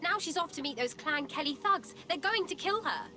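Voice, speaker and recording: A woman's voice: high voice, animated, normal speed, sharp tone. An actress playing an anxious woman pleading for her friend in a video game. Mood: worried.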